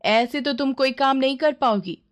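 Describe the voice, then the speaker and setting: A woman voicing a stern, dismissive lady employer in an animated moral story, scolding and curt. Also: high-pitched, normal speed, highs cut off, voice pushed up, natural voice.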